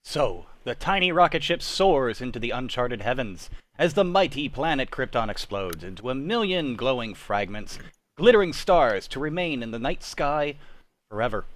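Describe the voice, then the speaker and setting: A man playing a dramatic announcer of a 1940s-style superhero radio serial, grandiose and suspenseful with drawn-out emphasis. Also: mid-range voice, sing-song, a bit bright, no room sound. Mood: solemn grandeur.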